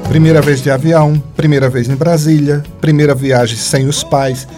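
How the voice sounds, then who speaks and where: A man's voice: a little high, steady tone, normal speed, dark tone, soft background music. A man narrating a scripted news feature.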